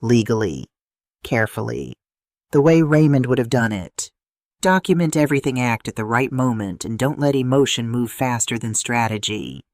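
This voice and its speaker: A storyteller voicing a resolute older widow who speaks deliberately and firmly in an audio drama. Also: medium pitch, dry recording, studio quality, close to an everyday voice. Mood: calm, calculating. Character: resolute, strategic widowed older woman confiding in her sister.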